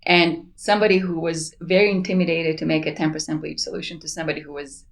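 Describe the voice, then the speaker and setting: A woman's voice: low-pitched, level tone, fast talker. A woman in her forties from Bosnia, speaking English with a Bosnian accent as a researcher guest in a casual interview, earnest and enthusiastic, laughing between thoughts. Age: 40s. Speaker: plant pathology researcher, podcast guest.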